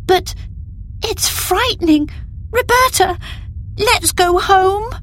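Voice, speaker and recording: A woman reading aloud and voicing a timid little girl in a storybook, with a hushed, easily scared manner. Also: very high voice, very animated, takes it slowly, pitched above the performer's own voice, exaggerated voice. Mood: frightened.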